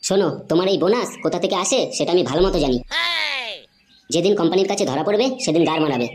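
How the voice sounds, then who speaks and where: A woman's voice: fairly low pitch, very fast, lightly acted. A woman voicing a hot-tempered, foul-mouthed cartoon boy, brash and slangy, with a West Bengali accent. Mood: indignant. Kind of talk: comedy cartoon dialogue. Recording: clearly noisy background.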